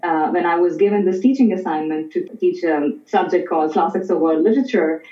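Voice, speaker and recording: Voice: medium pitch, warm tone. Guest scholar on distant audio. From Pakistan. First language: Urdu. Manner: measured, explanatory. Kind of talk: conversational interview. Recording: distant, roomy, dull remote audio.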